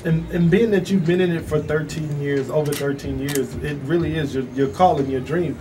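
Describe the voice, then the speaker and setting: A man co-hosting a casual radio show, reacting with enthusiastic hype and quick questions. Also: fairly high pitch. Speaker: radio co-host. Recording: distant mic with background noise.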